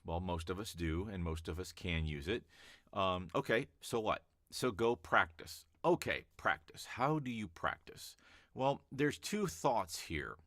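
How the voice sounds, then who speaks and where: A man coaching voice-over hopefuls in a relaxed, chatty teaching talk with a calm, easy-to-listen-to voice. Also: low voice, no room sound, studio quality. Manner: relaxed and chatty, with fillers and restarts. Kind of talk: casual teaching vlog.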